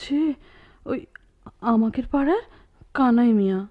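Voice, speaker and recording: A woman's voice: a little high, expressive, a little slow, lo-fi sound. An actress playing a shy, lovestruck young village girl in a radio drama. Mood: bashful. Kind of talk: Bengali radio drama acting.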